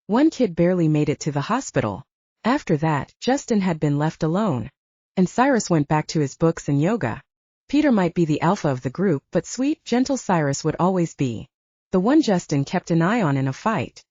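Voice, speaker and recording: A woman's voice: on the low side, dark tone. A woman reading a scripted fiction audiobook as its narrator. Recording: dull, roomy, echoing audio.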